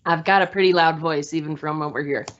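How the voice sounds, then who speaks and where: A woman school board member joining a meeting by video call, giving her remarks haltingly with frequent pauses and fillers. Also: sits low, fairly even intonation, dull audio.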